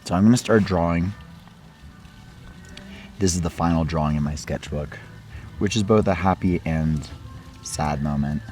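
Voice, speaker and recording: A man with a very deep, dark voice, worn down by a cold. He talks in a relaxed, meditative vlog manner outdoors, with faint music drifting in behind him. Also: moderate pace, pauses often. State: losing his voice from a cold. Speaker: art vlogger. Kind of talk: casual travel vlog narration. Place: outdoors by a hot spring. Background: faint local music.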